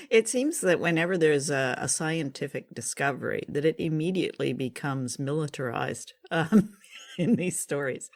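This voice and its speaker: Easygoing North American podcast co-host, medium pitch. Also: animated, a little slow. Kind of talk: casual review chat.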